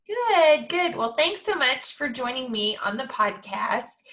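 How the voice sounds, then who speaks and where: A woman's voice: high voice, big pitch swings, a little slow, a bit bright. A woman hosting an interview podcast, introducing a guest and asking upbeat, encouraging questions. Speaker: podcast host.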